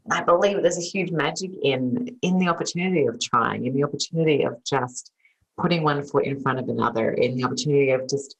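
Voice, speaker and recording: A woman's voice: rumbling low, fast, breathy edge, poor audio. An Australian woman in her forties telling her story as an interview guest, chatty and full of fillers, on a video call with a podcast mic. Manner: chatty, lots of "um" and "you know". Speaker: guest entrepreneur and author. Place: home room by a plant wallpaper, video call. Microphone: podcast mic in front.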